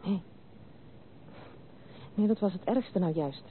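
A woman's voice: on the low side, lively intonation, slow. An actress playing a spirited but wounded young noblewoman in a Dutch radio drama, speaking candidly and earnestly in literary phrases. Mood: confessional. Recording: narrow tinny old radio recording, faint hiss.

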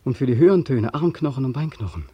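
An actor playing a weary, haunted ex-soldier in a 1940s radio drama, pleading and obsessively repeating himself. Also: male voice, mid-range voice, lively intonation, fast talker, husky, no room sound, lo-fi sound. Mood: haunted, insistent. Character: weary, haunted ex-soldier back from war, insistent.

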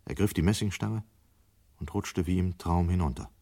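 An actor narrating a dystopian radio play in a grave, sober, measured way. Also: male voice, sits low, creaky, soft background music. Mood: sober, ominous.

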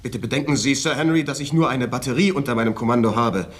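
A man's voice: medium pitch, quick pace, lightly acted. A man playing a stiff, proud, formal army captain in a German radio play. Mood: stiff, defensive. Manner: formal, dignified.

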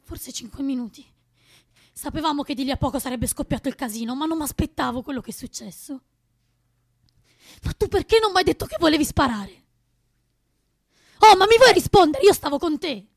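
Shaken young female protester, very high creaky voice, emotional reproach, radio drama. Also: lively intonation, brisk, long pauses. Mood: reproachful. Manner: emotional, accusing. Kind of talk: naturalistic radio drama acting.

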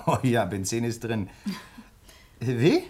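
Radio play: courteous, inquisitive traveller, husky mid-range voice, well-mannered and conversational. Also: male voice, mid-range voice, expressive, very slow, a little mellow, heavily acted. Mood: polite, easygoing. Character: courteous male traveller, easygoing, politely inquisitive. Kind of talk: German radio play acting.

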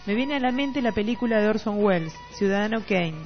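A woman's voice: medium pitch, animated, a little fast. A woman with a Rioplatense Argentine accent co-hosting a casual literary radio chat, at times reading out comments. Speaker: radio co-host. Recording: slightly dull radio audio with music behind. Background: music.

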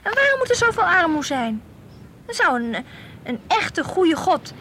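A woman's voice: extremely high pitch, sing-song, unhurried, pushed and bright, heavily acted. An actress playing an outspoken, sceptical teenage girl who argues emphatically in a radio play. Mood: challenging, sceptical. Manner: challenging, emphatic. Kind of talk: Dutch radio play acting. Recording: faintly hissy old radio play recording.

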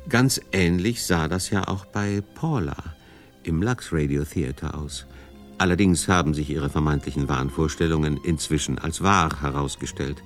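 A man reading scripted narration for a radio documentary. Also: very deep voice.

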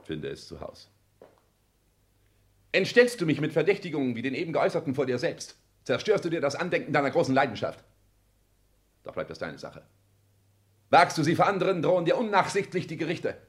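A man's voice: fairly high pitch, sing-song, brisk, long pauses. An actor in a 1960s German radio drama playing an arrogant, ambitious self-made man with a declamatory, commanding delivery. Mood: cold, imperious. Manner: declamatory, haughty, clipped commands. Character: arrogant, ambitious self-made rich son, cold and imperious.

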